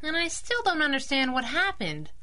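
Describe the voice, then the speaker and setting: A woman's voice: high voice, huge pitch swings, normal speed, bright, forceful tone, exaggerated voice. A woman playing a plucky, wisecracking young sidekick to a masked hero in a 1930s-style radio adventure serial. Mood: curious. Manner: spunky, deferential but teasing to her boss.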